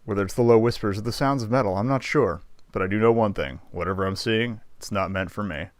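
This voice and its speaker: Low-voiced male game streamer. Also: quick pace, dry recording. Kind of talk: casual playthrough commentary, reading game text aloud. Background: faint game music.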